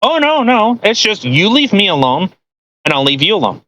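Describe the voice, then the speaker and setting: Podcast co-host, mid-range pitch, crude and mocking, swears freely while reading aloud and commenting casually. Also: big pitch swings, normal speed, pushed and bright, no room sound.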